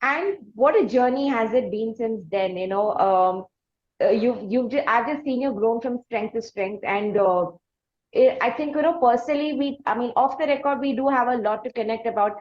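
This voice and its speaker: A woman with an Indian accent hosting a conversational podcast interview, speaking effusively in long, halting phrases. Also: on the high side, highs cut off. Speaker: podcast host interviewing a musician.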